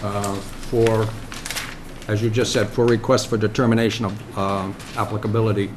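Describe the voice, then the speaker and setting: A man chairing a conservation commission meeting, speaking in a measured, polite, procedural way. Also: fairly low pitch, little pitch movement. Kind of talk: public meeting discussion. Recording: noisy municipal TV broadcast.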